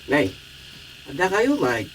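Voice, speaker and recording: Casting: village man, notably high register, radio comedy, clearly noisy background. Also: wildly swooping pitch, slow, exaggerated voice. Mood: questioning. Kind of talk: Ilocano radio drama comedy acting.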